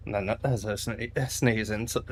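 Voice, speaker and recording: A man chats to himself as he plays, his voice sitting on the lower side. He rambles and hesitates, trailing off mid-thought. Clear engine and game noise runs under him the whole time. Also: fairly even intonation, moderate pace. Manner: rambling, hesitant, thinking aloud. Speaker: gameplay streamer. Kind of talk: casual gaming commentary to himself.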